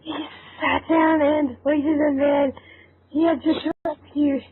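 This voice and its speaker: High-voiced woman reading a story, phone-like sound. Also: flat delivery, a little slow, no echo, soft background music. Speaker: narrator of a fan fiction reading.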